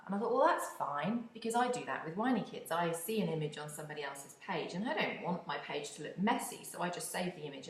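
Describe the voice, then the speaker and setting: A woman from the UK with a British accent, hosting a solo podcast in a chatty, expressive way with wry asides. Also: deep voice. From United Kingdom. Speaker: podcast host. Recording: echoey room.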